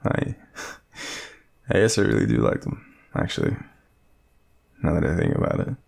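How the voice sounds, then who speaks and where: A young Australian man playing a shy, lovestruck friend in an intimate romantic audio roleplay, speaking hesitantly in a deep, gravelly, breathy murmur. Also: full character voice. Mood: wistful, smitten.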